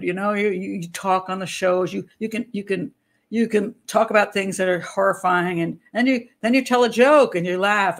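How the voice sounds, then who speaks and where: An older woman, a criminal profiler, hosting a casual livestream from a small room at home, talking into a webcam. She rambles, interrupts herself and laughs easily, and her voice is a little hoarse. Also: mid-range voice. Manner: chatty, rambling, self-interrupting, quick to laugh. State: hoarse, clearing throat.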